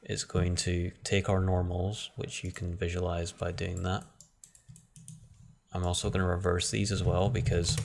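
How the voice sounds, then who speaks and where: Male tutorial host, deep and gravelly, flat delivery, casual think-aloud style. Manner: relaxed, thinking aloud with frequent restarts. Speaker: software tutorial instructor. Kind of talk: screen-recorded software tutorial.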